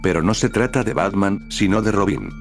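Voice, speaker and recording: A man narrating a scripted horror recap over dark music. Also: on the low side, fairly quick, gravelly. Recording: background music under the narration.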